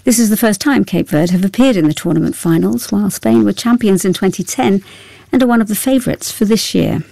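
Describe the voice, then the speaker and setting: Woman reading the news, mellow voice. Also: medium pitch, gravelly, no echo. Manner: measured, formal newsreading. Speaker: newsreader. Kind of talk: scripted news bulletin.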